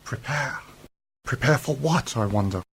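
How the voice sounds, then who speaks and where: A man's voice: mid-range voice, expressive, a little slow, vocal fry, a bit bright, husky, full character voice. A man playing a mysterious, authoritative time-travelling uncle in an amateur science fiction radio play, pondering aloud with slightly theatrical emphasis. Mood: curious, wary.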